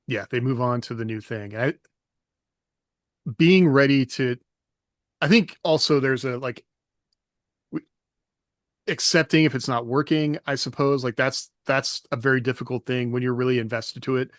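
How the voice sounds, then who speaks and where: Mid-pitched male co-host, thoughtful and halting, dry close sound. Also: no room sound. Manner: reflective, hesitant, laughs often. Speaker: podcast co-host, author. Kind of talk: casual chat.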